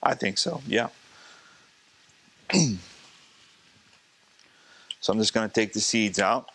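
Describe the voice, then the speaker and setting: A man, a chef, teaching a live cooking demonstration in a casual, chatty way, slightly hoarse as he is losing his voice. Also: on the low side, slow pace, pushed and bright. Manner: casual, chatty, talks to the class.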